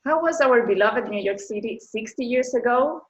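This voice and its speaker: Woman reading a measured event welcome. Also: fairly high pitch, animated, highs cut off. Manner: measured, reads prepared introductions. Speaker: event host. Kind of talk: scripted welcome remarks.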